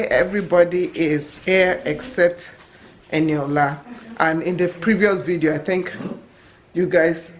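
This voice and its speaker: A woman's voice: fairly low pitch, a little hiss or hum. A woman in her forties casually vlogging to camera from her home kitchen, chatty and self-correcting, laughing at herself. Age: 40s. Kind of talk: casual talking-to-camera vlog. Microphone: camera held at arm's length.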